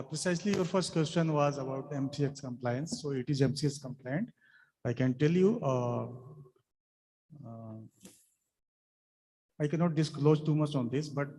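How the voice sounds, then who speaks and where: A man's voice: mid-range voice, a little mellow. Man answering in an echoey hall. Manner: insistent, deferential "sir". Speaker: company representative answering technical questions. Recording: echoey hall with background noise.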